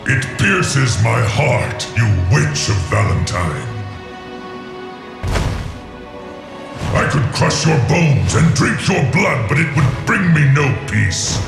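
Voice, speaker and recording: An actor playing a proud, menacing ancient dragon in a video game, speaking in a grandiose, imperious, archaic manner. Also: male voice, mid-range voice, big pitch swings, measured pace, vocal fry. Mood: grieving, bitter. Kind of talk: dramatic video game voice acting. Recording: echoing voice under prominent game music. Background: game music.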